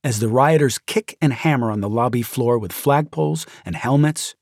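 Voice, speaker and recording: A man with an American accent reads a nonfiction audiobook in a measured, grave and deliberate way, with dramatic weight. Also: mid-range voice, a little mellow, no room sound, studio quality. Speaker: audiobook narrator. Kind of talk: dramatic scripted audiobook narration of a nonfiction memoir. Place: dry recording studio. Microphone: close studio microphone.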